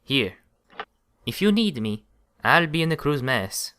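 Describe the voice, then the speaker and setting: A helpful ship's attendant in a radio drama, acted by a man. His courteous voice is animated in pitch, with faint noise behind it. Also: measured pace. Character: helpful, polite male ship phone attendant. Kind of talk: radio play acting.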